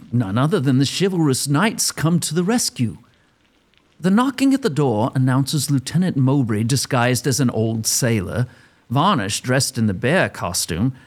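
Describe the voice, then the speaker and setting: A man narrates in a playful, theatrical storytelling style. His voice is middling in pitch, rough-edged and highly animated.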